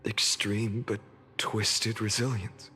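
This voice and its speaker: Bright, resolute young hero. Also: male voice, on the low side, level tone, slow pace, big-room sound, soft background music. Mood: solemn resolve. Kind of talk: dramatic video game voice acting.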